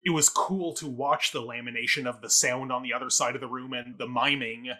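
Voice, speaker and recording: A man in a casual review discussion, with a medium-pitched voice and a bright, forceful edge. He works through his points analytically, tentatively qualifying them as he goes. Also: fairly even intonation, fairly quick. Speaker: theatre review podcast co-host.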